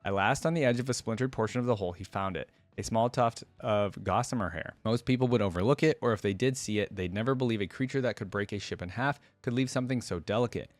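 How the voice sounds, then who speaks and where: A man with a fairly low voice hosts a lore reaction in a casual way, reading story text and commenting on it. The sound is dry, with very little room in it. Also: fast, hardly any pauses, no room sound. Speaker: reaction video host reading lore aloud.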